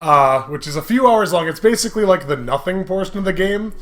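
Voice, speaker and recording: A man hosting a casual, comedic let's play, talking in an excitable, jokey and animated way. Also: high-pitched, brisk. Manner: laughs often while talking. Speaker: host. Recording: music behind the voice. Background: video game music.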